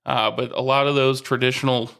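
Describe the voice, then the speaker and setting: A man's voice: mid-range voice, level tone, a little fast, creaky, a bit bright, clear, resonant tone. A man co-hosting a casual podcast, talking sports in an easygoing, teasing way. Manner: easygoing, teasing, uses fillers. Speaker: podcast co-host.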